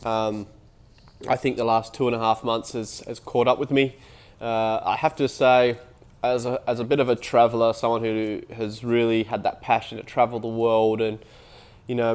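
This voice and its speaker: A man with a stuffy head cold talks straight to camera, his voice nasal and congested. He rambles in an easy, motivational way, often restarting his sentences mid-thought. His pitch sits in an ordinary middle range. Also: darkish tone. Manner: rambling, with frequent restarts and fillers. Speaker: online business vlogger. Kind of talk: casual motivational talk to camera.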